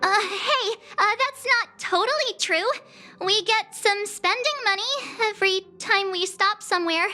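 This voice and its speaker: A woman's voice: very high-pitched, measured pace, sharp tone, faint music behind, heavily acted. An actress playing a perky, teasing young girl adventurer in a video game, casual, sassy and easily flustered. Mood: exasperated, flustered.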